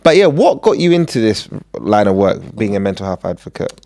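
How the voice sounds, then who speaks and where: A man from Uganda co-hosting a casual podcast, joking and animated, often acting out voices. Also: fairly low pitch, brisk, vocal fry, no echo, clean studio sound. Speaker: podcast co-host.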